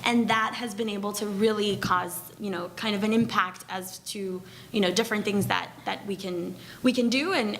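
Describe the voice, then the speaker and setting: A woman's voice: fairly high pitch, creaky, fairly bright tone, slight background noise. A woman speaking as a panelist, talking in a chatty, loose way full of fillers and restarts. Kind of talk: panel discussion.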